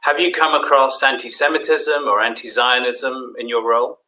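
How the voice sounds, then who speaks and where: Bright-voiced male interviewer. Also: mid-range voice, flat delivery, moderate pace, rough recording. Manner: direct, plain questions. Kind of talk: interview questions.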